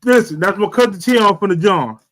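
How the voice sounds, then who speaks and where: Middle-aged man, high voice, informal and slangy, repeats himself to hold the floor. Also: animated, fairly quick. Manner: repetitive, interjecting, calling for attention. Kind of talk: casual livestream chat with slang.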